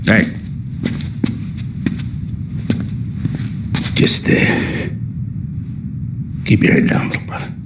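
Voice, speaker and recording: An actor playing a volatile, menacing 1930s American crime don in a game cutscene, domineering and short-tempered. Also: male voice, unhurried, noisy background, natural voice. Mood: grim. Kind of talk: cinematic game cutscene acting. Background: loud dramatic music.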